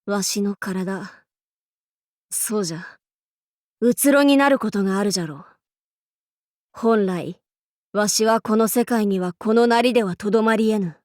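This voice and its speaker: A woman's voice: mid-range voice, measured pace, pauses often, darkish tone, no room sound, clean studio sound, close to an everyday voice. A woman playing a proud, boisterous warlord in an anime game, with pompous, playful, archaic lordly speech. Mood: quiet, solemn. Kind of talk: anime game character voice lines.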